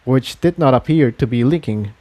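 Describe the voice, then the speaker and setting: A man's voice: mid-range voice, moderate pace, dark tone. A man reading fan fiction aloud as an amateur narrator, in scripted storytelling style.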